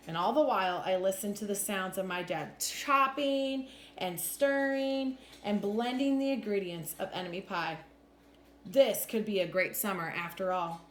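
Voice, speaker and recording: A woman reading a children's storybook aloud in an expressive, deliberate storytelling manner. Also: medium pitch. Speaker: teacher reading a picture book aloud. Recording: roomy echo with faint background noise.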